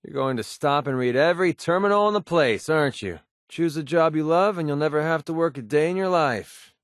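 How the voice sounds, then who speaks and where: A man's voice: on the high side, expressive, brisk, dry recording, close to an everyday voice. Sardonic young mercenary played by a man, dry studio sound. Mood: sardonic, grumbling. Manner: sardonic, grumbling, offhand. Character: cynical, wisecracking young mercenary sniper in a wasteland. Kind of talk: video game companion dialogue.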